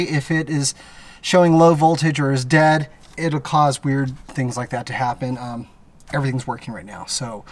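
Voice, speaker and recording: A man giving a casual, plain-spoken, hands-on car repair tutorial, explaining things step by step. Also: fairly high pitch. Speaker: how-to video host. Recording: noticeable background noise.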